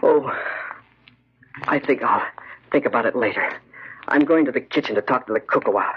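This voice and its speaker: An actor playing an anxious, desperate young schemer in a 1940s style radio horror play, with a hesitant, nervous manner. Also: male voice, medium pitch, moderate pace, slightly rough. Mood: desperate, brooding. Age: young adult. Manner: hesitant, nervous, stiffly polite. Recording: dull, lo-fi old radio recording.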